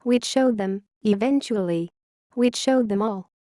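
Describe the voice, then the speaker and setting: A woman playing a wistful, grave founding scientist who confesses a secret history in a measured, literary audio-log monologue. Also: mid-range voice, dark tone, no echo, studio quality, close to an everyday voice. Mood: wistful, earnest.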